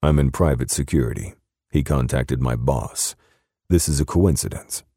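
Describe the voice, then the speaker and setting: A man playing a stoic, protective bodyguard in a restrained, soft-spoken and terse way. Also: rumbling low, normal speed, no echo, studio quality. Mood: calm, firm.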